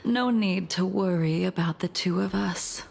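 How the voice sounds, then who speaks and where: A woman playing a composed, reserved swordswoman in a video game, speaking softly, formally and with measured gravity. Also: fairly low pitch, gravelly, a little hiss or hum. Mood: calm, reserved. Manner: measured, soft-spoken, formal. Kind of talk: scripted video game voice acting.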